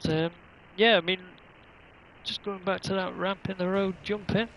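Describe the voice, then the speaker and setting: A man's voice: high voice, fairly even intonation, normal speed, gravelly, fairly bright tone, a little hiss or hum, poor audio. A man commentating casually on an online racing livestream, rambling along in an easygoing, hesitant way.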